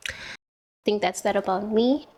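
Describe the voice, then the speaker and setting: A young woman from South Africa with a South African accent, chatting casually while doing her makeup, full of "like" fillers and drawn-out words. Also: medium pitch, darkish tone. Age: young adult.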